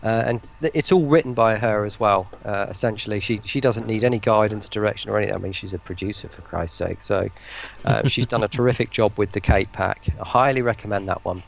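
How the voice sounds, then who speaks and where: A man's voice: on the low side, a little fast, creaky, few pauses, slight background noise. A man talking casually and enthusiastically in an interview, rambling and often breaking into his own sentences. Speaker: voice pack producer, stream guest.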